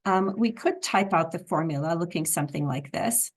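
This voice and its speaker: Woman teacher w a froggy hoarse voice, kinda low, calm step by step spreadsheet walkthrough. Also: moderate pace. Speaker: instructor. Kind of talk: screen-recorded software tutorial.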